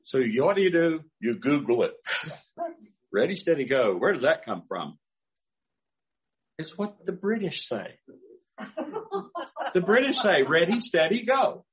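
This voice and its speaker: A man's voice: on the high side, very animated, a little slow, long pauses. An older man, a pastor, preaching a sermon through folksy personal stories with chuckling asides.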